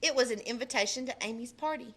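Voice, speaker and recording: A woman, a librarian, reading a children's picture book aloud with expressive delivery. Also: fairly high pitch, fast talker. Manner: expressive, puts on character voices in quotes. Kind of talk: storybook read-aloud.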